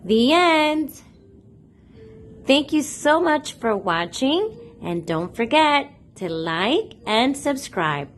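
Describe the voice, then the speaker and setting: Slow, animated woman reading to children. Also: high-pitched, a little hiss or hum. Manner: deliberate, addresses young listeners directly with questions. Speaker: host of a children's read-aloud channel. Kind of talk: children's picture book read-aloud, storytelling to kids.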